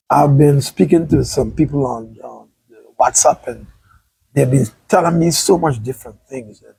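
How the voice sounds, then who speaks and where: A man's voice: fairly high pitch, creaky, a little mellow, husky, rough recording. A man from Sint Maarten hosting a casual livestream interview outdoors, chatty and rambling. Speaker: talk show host. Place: outdoors by the beach.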